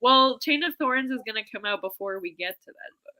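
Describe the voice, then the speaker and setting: Very bright, animated high-voiced woman. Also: a little fast, poor audio. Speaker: co-host of a book discussion live stream. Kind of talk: casual chat.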